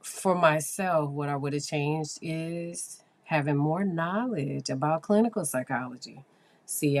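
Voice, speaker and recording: A hoarse woman hosting a psychology podcast, talking casually and explaining things with fillers. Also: deep voice. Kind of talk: casual solo podcast talk.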